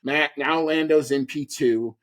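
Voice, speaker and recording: A man's voice: a little high, steady tone, unhurried, fairly bright tone. A man hosting a podcast, giving a casual, opinionated and emphatic recap of a sporting event. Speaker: podcast host.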